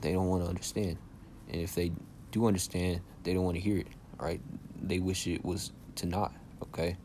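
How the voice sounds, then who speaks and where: A young man preaching casually from scripture with a soft voice, checking in with "okay?" and "all right?" after nearly every phrase. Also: low voice, slight background noise. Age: young adult. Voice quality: soft-spoken by his own account. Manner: casual and insistent, often says "man". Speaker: bible teacher.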